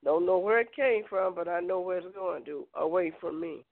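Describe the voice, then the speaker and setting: A middle-aged woman hosting a prayer show, hoarse from a cold, chatting with listeners in a folksy, direct way. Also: lowish voice, moderate pace. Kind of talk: casual prayer show chat. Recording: tinny phone line, dry.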